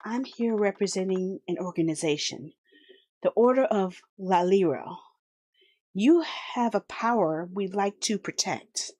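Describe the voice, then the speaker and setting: A woman voicing a composed noblewoman who speaks softly and soothingly. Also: medium pitch, measured pace, close to an everyday voice. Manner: soft, smooth, soothing. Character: composed noblewoman, calm and commanding.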